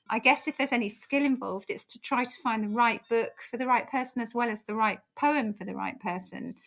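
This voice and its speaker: A middle-aged woman with an English accent, answering interview questions in a reflective, unhurried way. Her voice sits slightly high, and her sentences often soften and drop at the end. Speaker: poet and guest. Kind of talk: conversational interview.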